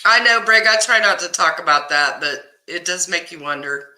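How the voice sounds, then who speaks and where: A talkative woman with a Kansas accent hosts a live chat, greeting viewers by name and laughing easily. Her voice is very bright and rises and falls a lot. The room around her rings with echo. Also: on the low side, expressive, normal speed. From Kansas, US Midwest. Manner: chatty, laughs often, answers viewers by name. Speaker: livestream host reading chat.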